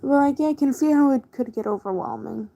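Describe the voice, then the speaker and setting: A woman's voice: sits high, brisk, gravelly, mellow. A woman chatting casually on a livestream as she works, in a laid-back way with trailing half-thoughts. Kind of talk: casual livestream chat while drawing.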